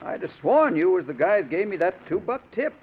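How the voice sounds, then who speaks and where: A folksy working man, eager and well-meaning but hesitant. His voice is high and lively, heard on a thin, narrow old radio recording. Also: fairly quick, husky, exaggerated voice. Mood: eager, helpful. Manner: hesitant, colloquial, simple and eager. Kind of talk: 1940s radio character acting. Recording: tinny, hissy old radio broadcast.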